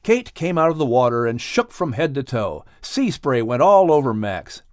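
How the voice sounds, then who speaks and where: A man narrating a scripted children's story as an audiobook reader, with expressive delivery. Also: mid-range voice, moderate pace, clean tone, no echo, studio quality.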